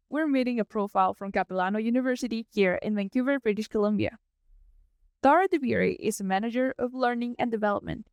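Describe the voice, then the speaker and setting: A woman's voice: fairly high pitch, darkish tone, smooth, no room sound, clean studio sound. A woman hosting a podcast, giving prepared introductions and interview questions. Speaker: podcast host.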